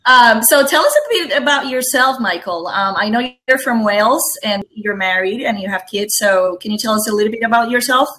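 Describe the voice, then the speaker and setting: A woman's voice: a little high, expressive, brisk, few pauses, sharp tone. A woman from Rico, co-hosting an interview in a polite, slightly hesitant way. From Puerto Rico. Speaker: co-host. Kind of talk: casual interview chat.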